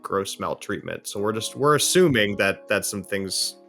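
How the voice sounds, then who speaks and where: A man acts as a foster father with a lowish voice, reasonable and a bit hesitant. He explains things tentatively, in the manner of an improvised drama. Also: animated, moderate pace, gravelly, fairly bright tone, soft background music. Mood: explaining. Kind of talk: improvised role-play conversation.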